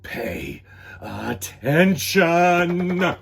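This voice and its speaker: A man voicing a strict, exasperated schoolteacher in a read-aloud storybook, calling out rules in a loud, slow, drawn-out way. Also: high voice, animated, creaky, a little hiss or hum, voice pushed up, full character voice. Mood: scolding.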